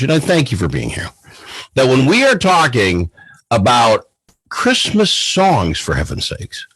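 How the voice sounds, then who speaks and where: Animated man hosting radio banter. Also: fairly high pitch, slightly rough, no echo. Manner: animated, teasing, mock-pleading. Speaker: radio talk show host.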